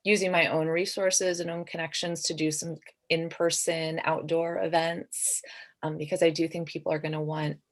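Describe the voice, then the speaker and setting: A woman's voice: low voice, little pitch movement, normal speed. A middle-aged woman, a debut novelist, talking casually as a guest on a literary panel.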